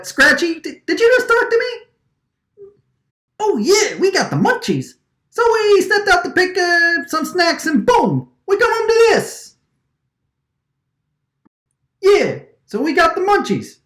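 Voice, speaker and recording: A woman plays a brash vacuum-faced wiseguy from a radio drama, pitched high and swinging wildly. He is agitated and indignant, stammering and hammering on his phrases. The recording sounds like an echoey room. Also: wildly swooping pitch, a little slow, pauses often, a bit bright, exaggerated voice. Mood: puzzled. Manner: agitated, stammering, repeating lines emphatically. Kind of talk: radio drama line takes, gangster-movie wiseguy delivery.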